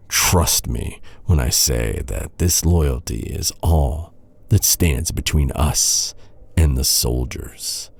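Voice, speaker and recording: An actor playing a bitter, sardonic knight who taunts a royal captive with mock courtesy in a dark fantasy audio roleplay. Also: male voice, a bass voice, gravelly, slightly rough, dry recording, full character voice. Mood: menacing, resentful. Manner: sardonic, mock-courteous, brooding, with sighs and pauses.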